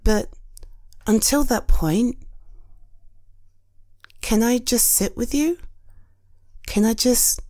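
A British woman playing a gentle, caring friend in a comfort audio roleplay, soothing and reassuring with hesitant pauses. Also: fairly high pitch, dry recording, studio quality, pitched above the performer's own voice. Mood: soothing, affectionate.

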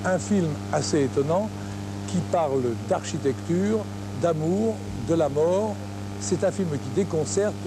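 Man reporting outdoors over tape noise. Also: a little high. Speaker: festival reporter. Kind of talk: scripted TV report. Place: outdoors at a film festival. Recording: noisy 1980s TV tape.

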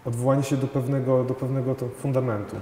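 A man in his thirties, a painter, answers interview questions thoughtfully, with many pauses, fillers and restarts. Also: mid-range voice, little pitch movement, dark tone. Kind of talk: gallery interview. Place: large gallery hall with paintings. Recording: long hall reverb, faint noise.

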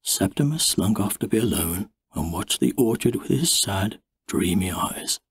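A man reads a story aloud with plenty of drama. His voice is very husky and breathy, and his pitch rises and dips in a lively way. He takes his time over each phrase, recorded close and dry. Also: a little slow, dry recording. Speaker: audiobook narrator. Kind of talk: expressive storybook narration.

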